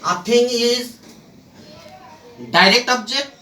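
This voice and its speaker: A teacher giving a step-by-step grammar lesson in short, halting phrases, asking and answering their own questions, recorded from a distance in an echoey room. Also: mid-range voice, pushed and bright. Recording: echoey room, far from the mic.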